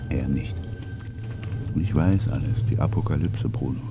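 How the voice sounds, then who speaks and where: An actor playing an embittered, suspicious old communist in a psychiatric clinic, in terse radio drama monologue. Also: male voice, rumbling low, wildly swooping pitch, normal speed, exaggerated voice. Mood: sullen. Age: older. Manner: terse, grumbling, breathy half-whispers swelling to declamation. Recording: noisy ambience behind the voice.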